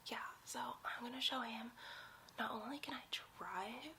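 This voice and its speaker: A woman playing a young, giddy, boastful superhero sidekick who schemes and gossips in a breathy whispered ASMR role play. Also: on the high side, measured pace, pushed and bright, a little hiss or hum. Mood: smug confiding. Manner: conspiratorial, gossipy, rambling.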